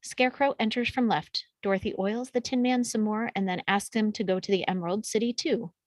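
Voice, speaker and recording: A woman narrates screenplay action at a table read. Her voice sits at a middle pitch and is recorded close and dry, with no room around it. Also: a little fast, no room sound. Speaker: reader of stage directions.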